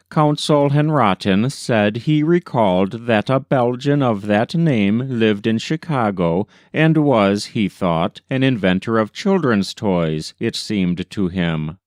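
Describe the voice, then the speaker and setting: A man's voice: fairly low pitch, expressive, slow pace, a little mellow, no room sound, clean studio sound. A man reading an old newspaper story aloud as scripted narration. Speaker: volunteer reader.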